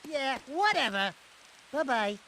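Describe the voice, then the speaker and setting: A man voicing a small, cocky, sarcastic animal sidekick in a cartoonish video game, nasal and excitable. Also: very high-pitched, takes it slowly, heavily acted. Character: small wisecracking male rat-like animal sidekick.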